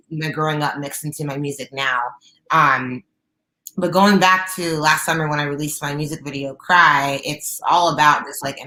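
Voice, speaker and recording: A young woman with a low, raspy alto voice, a guest singer talking openly on a video call from her living room, with many fillers and restarts. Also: sharp tone, roomy sound, rough recording. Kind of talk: casual interview chat. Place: bright living room at home.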